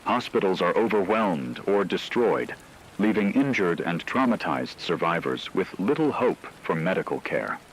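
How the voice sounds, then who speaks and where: A man's voice: low-pitched, big pitch swings, moderate pace, slight background noise, poor audio. A man reading a scripted emergency radio broadcast as a newscaster, in a grave, solemn manner. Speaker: radio newscaster in a horror story.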